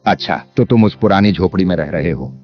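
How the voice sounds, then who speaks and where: A man playing a cunning, greedy village landlord in an animated moral story, speaking with sly emphasis. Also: deep voice, brisk, lightly acted. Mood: probing. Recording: dull lo-fi sound under music.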